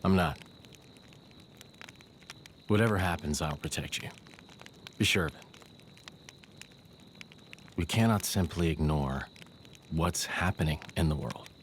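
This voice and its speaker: An actor playing a stoic, protective male warrior in a fantasy game, speaking gravely and tersely. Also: rumbling low, slow, long pauses, a little hiss or hum. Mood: solemn, protective.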